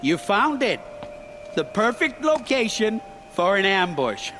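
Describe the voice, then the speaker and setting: Oily, lively middle-aged schemer. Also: male voice, sits high, takes it slowly, slight background noise, exaggerated voice. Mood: gloating, threatening. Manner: ingratiating, theatrical salesman's patter. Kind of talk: video game dialogue acting.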